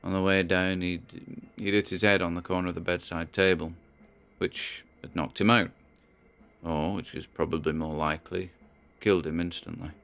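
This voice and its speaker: A man acts out a troubled British grandson speaking into his audio diary. His voice is low-pitched, and he talks in a halting, conversational way, as if confiding privately. It is a monologue from an audio drama. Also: flat delivery, vocal fry, a bit bright, clear, resonant tone. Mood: brooding, uneasy.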